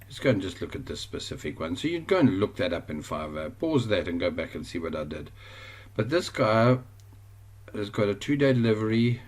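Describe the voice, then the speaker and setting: A man's voice: on the low side, very animated, a little fast. A man with a South African accent teaching an online course over a screen share, rambling and often correcting himself. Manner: rambling, self-correcting, chatty.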